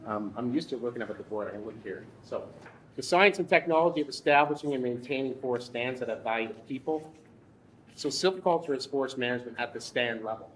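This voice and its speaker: A man of ordinary pitch presents to a workshop group as a forestry instructor. He talks hesitantly, stops to restart his phrases and corrects himself as he goes. Faint room noise sits behind him. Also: animated, fast talker, a bit bright, poor audio. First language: English. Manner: hesitant, many restarts and fillers, self-correcting.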